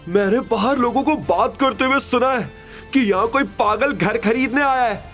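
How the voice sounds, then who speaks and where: A man's voice: very high-pitched, normal speed, faint music behind, pitched above the performer's own voice. A man voicing a spiteful, mocking middle-aged mother-in-law in an audio drama. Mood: loud scorn. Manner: mocking, scornful, shouting.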